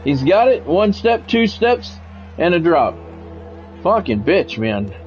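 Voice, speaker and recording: A man's voice: high voice, sing-song, slow, lo-fi sound, faint music behind. A middle-aged man commentating a sports video game in radio play-by-play style, with short clipped calls and casual, salty asides. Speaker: gaming streamer and play-by-play commentator.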